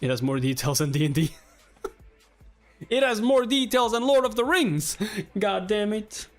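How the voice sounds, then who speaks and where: A man's voice: high voice, lively intonation, moderate pace. A man giving a casual, exclamatory live reaction while reading along with a webtoon, speaking informally with some mild swearing. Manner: exclamatory, informal, mildly profane. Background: background music.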